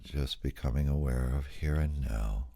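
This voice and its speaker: Very deep, slow-spoken male meditation guide. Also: gravelly, no room sound. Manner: slow, calm, gently questioning, long pauses.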